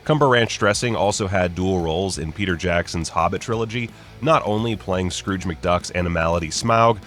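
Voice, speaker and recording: A man's voice: low-pitched, moderate pace, fairly bright tone, soft background music. A man narrating a scripted list video as host, with wry, sarcastic, joking asides. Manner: wry, sarcastic, jokey mock-casual delivery.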